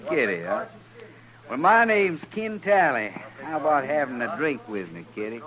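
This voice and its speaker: A man's voice: mid-range voice, sing-song, moderate pace, fairly bright tone, muffled recording. An actor playing a brash, menacing male trail boss in a 1950s radio western, drawling and insolent. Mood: pushy, leering. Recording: old radio recording, saloon crowd behind.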